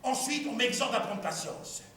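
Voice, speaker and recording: A man acting a servant who tells his tale in a lively, chuckling way, heard from far off in an echoey hall. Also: extremely high pitch, moderate pace. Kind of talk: stage play acting.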